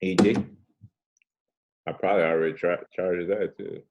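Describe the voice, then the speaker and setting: A man with a very deep, low voice and a soothing tone talks through charts in a relaxed, slangy way. He drifts into rambling asides as he goes, easygoing and conversational. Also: moderate pace. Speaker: forex trading host. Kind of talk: casual chart walkthrough.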